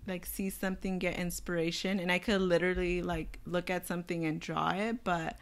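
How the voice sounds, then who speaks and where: Raspy young woman giving earnest advice. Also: lowish voice, flat delivery, a little fast. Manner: chatty, many filler words, earnest. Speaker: co-host of a faith podcast.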